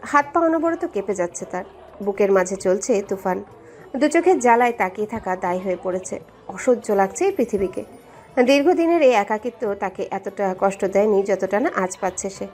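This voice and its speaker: A woman's voice: mid-range voice, expressive, normal speed, a little mellow. A woman narrating a scripted story in a dramatic storytelling style. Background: background music.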